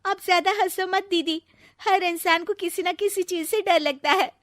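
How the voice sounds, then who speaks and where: Woman voicing a high, whiny young sister-in-law. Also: normal speed, no echo, studio quality. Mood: indignant. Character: timid young sister-in-law, aggrieved and playfully scheming. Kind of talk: Hindi comic family story acting.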